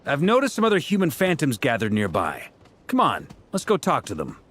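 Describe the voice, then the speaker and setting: A man's voice: medium pitch, huge pitch swings, fairly quick, a bit bright, a little hiss or hum. A man playing an upbeat, playful young adventurer who reasons things out in a video game. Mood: thoughtful.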